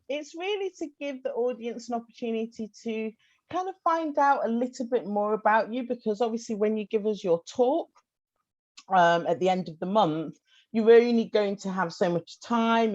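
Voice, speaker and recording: A woman's voice: mid-range voice, moderate pace, muffled recording. A woman hosting a podcast interview, chatty and enthusiastic. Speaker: podcast host.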